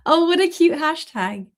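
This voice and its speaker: A woman in her thirties or forties hosts a relaxed livestream beading class, her slightly high voice touched by a cold and the odd cough. She talks in a chatty, friendly way, thanking viewers by name as she works. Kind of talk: casual livestream tutorial.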